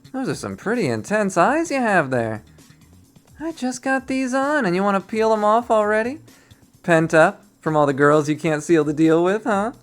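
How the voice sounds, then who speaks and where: A reader doing a cocky young man with a loud, mocking swagger. He talks crudely and brags, his voice jumping high and swinging all over the place. He is voiced from a visual novel readthrough. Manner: teasing, crude and boastful. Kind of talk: visual novel read-aloud.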